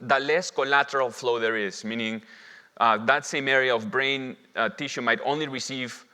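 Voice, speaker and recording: Bright-voiced man lecturing in a reverberant hall. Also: mid-range voice, fairly even intonation, moderate pace, clear, resonant tone. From Lima, Peru. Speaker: physician presenting as a conference speaker. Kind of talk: medical conference lecture. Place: indoor conference hall at a symposium. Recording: reverberant hall, long echo.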